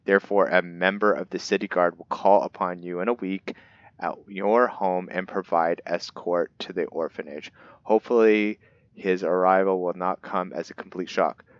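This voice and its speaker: Deep-voiced man doing casual game commentary. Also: steady tone, moderate pace, clear, resonant tone, no echo, lo-fi sound. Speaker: gaming video host narrating his roleplay playthrough.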